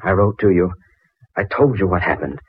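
An actor playing a despairing, lovesick, weary young inventor with halting delivery, in 1940s radio drama style. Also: male voice, low-pitched, lively intonation, husky, phone-quality sound, exaggerated voice. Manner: halting, heavy-hearted.

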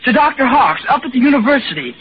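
High-voiced eager boyish American youth. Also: male voice, big pitch swings, quick pace. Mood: hesitant concern. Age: young adult. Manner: hesitant, earnest, folksy. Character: earnest, trusting small-town young man, boyish and eager. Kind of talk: 1940s radio acting. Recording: noisy, muffled 1940s radio recording.

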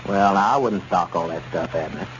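Man playing low-voiced easygoing small-town merchant. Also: brisk, natural voice. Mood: sensible. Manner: chuckling, level-headed. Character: easygoing, amused, sensible small-town store owner. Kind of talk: 1930s radio comedy acting. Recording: dull, noisy 1930s radio recording.

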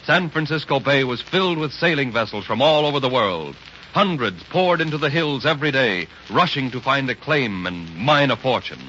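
A man's voice: mid-range voice, lively intonation, normal speed, pushed and bright. A man narrating in a grand, declamatory 1930s dramatic radio style. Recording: muffled, hissy 1930s radio recording.

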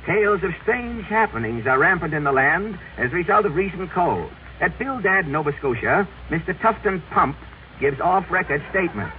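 Distant and tinny on old radio, a portentous news announcer. His high voice swings widely as he declaims clipped, mock-serious headlines. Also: big pitch swings, normal speed, a little hiss or hum. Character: brisk, portentous male newsreel announcer.

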